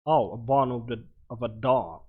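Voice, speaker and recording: A man doing casual game commentary, reading on-screen text aloud and reacting with short, halting interjections. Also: medium pitch, breathy edge, phone-quality sound. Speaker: let's player. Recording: music playing behind the voice. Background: game music.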